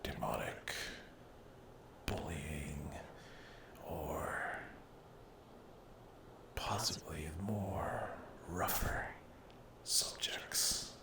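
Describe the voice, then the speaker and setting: A man speaking in a hushed, halting whisper as an ASMR host addressing his viewers. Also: a bass voice, roomy sound. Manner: hushed, halting delivery with long pauses.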